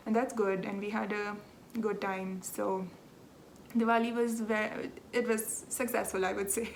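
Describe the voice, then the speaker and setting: A woman's voice: mid-range voice, a little hiss or hum. A young woman from South India, a Telugu speaker with an Indian accent, chatting casually as a podcast host, explaining things patiently and laughing at herself. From South India, Andhra Pradesh. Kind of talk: casual chat.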